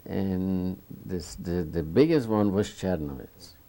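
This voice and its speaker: Older man, 70s, from Romania. Deep gravelly voice, slow, halting oral-history answers. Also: mellow. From Bukovina, Romania. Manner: halting, searching for words with many restarts. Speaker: Holocaust survivor interviewee.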